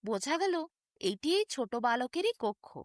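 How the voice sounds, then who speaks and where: A woman narrating a scripted bedtime fairy tale in an expressive, descriptive storytelling manner. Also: high-pitched, a little slow, dry recording, studio quality.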